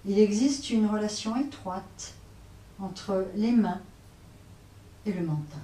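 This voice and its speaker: A woman teaching yoga nidra, guiding relaxation in a hesitant way, often pausing and repeating phrases. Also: mid-range voice, mellow. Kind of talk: guided relaxation instruction. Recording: roomy, distant mic, faint noise.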